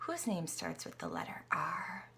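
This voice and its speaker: A young woman reads an alphabet picture book aloud to her niece, playful and animated, often dropping to a whisper. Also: fairly low pitch, unhurried. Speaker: aunt reading to her niece.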